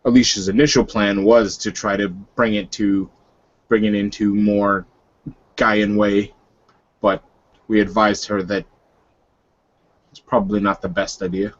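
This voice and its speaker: A man playing a weary, coolly menacing male werewolf leader who talks in a halting, guarded, dry way. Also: on the low side, level tone, lo-fi sound. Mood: grave, resolute.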